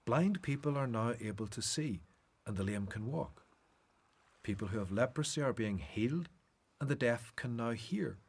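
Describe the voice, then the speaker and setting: Man acting gentle prophet-teacher, husky, widely varied pitch, assured, earnest, radio play. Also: expressive, normal speed. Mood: gentle, caring. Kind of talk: radio Bible drama acting.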